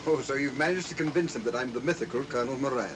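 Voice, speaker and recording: An actor playing a smug, defiant criminal mastermind, a retired colonel, scoffing in an old film mystery style. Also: male voice, medium pitch, racing, far from the mic, background noise, lightly acted. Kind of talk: 1940s film mystery acting.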